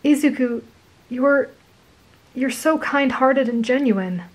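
A woman voicing a soft-spoken young woman who speaks sincerely, with small hesitations. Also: sits high, takes it slowly, pitched above the performer's own voice. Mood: tender.

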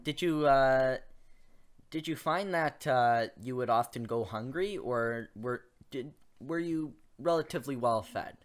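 A man's voice: medium pitch, measured pace. Guy interviewing his grandma on a podcast, hesitant, lots of uhs. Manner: hesitant, many fillers and restarts. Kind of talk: casual interview.

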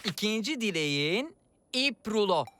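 A woman voicing a friendly, wise young boy who explains magic in an animated children's cartoon. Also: medium pitch, measured pace. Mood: explaining. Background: background music.

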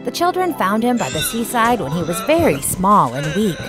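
A woman tells a children's bedtime story at a medium pitch. Her delivery is very animated and clearly enunciated, with music playing behind her. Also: moderate pace. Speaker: narrator. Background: background music.

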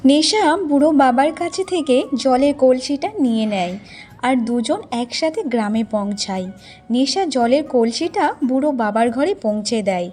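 High-pitched woman storyteller. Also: animated, normal speed, darkish tone. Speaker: storyteller, narrator. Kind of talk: scripted fairy-tale narration. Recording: music behind the voice.

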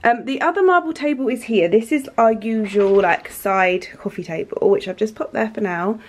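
A woman's voice: a little high, normal speed. A young woman in her twenties chatting casually to her handheld camera at home, enthusiastic and full of fillers, her nose stuffy from hay fever. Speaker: lifestyle vlogger. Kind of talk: casual vlog chat to camera. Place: living room at home, indoors.

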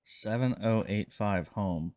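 A man with a lowish voice plays a police officer in an online role-play game. His delivery is casual and laid-back, like easy improvised chat. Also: slow, darkish tone, a little hiss or hum. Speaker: role-player playing a police lieutenant.

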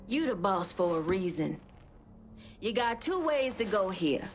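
An actress playing a shrewd, poised female gang boss in a crime game, measured and persuasive. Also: mid-range voice, sing-song, soft background music.

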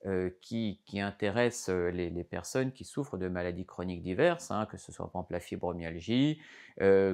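Middle-aged guy doctor explaining stuff to camera, really animated up and down voice, lots of ums and restarts, clean studio lapel sound. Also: lowish voice. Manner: lively, explains with hand gestures, many fillers and restarts. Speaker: doctor giving a health lesson. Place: studio before a green backdrop.

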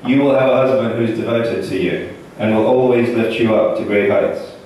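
A man in his thirties whose first language is Russian, giving a joking best man toast from notes into a handheld mic with a deadpan delivery and pauses for laughs. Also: medium pitch. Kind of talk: wedding toast read from notes. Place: indoor banquet hall. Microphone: handheld mic, filmed by a far camera in the audience. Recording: echoey hall from far off, audience noise.

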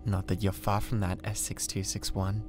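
A British man playing a cold, condescending mad scientist with a god complex in an ASMR roleplay, speaking in a deliberate, theatrical way. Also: low-pitched, level tone, background noise, natural voice. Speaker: ASMR voice actor. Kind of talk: ASMR roleplay, scripted drama.

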